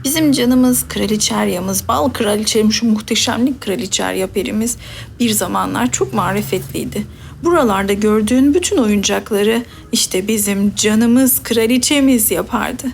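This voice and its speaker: A woman's voice: mid-range voice, normal speed, creaky, slight background noise, close to an everyday voice. A woman voicing a fussy, devoted old vizier in a storybook, full of flowery praise. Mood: doting. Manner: fawning and flowery.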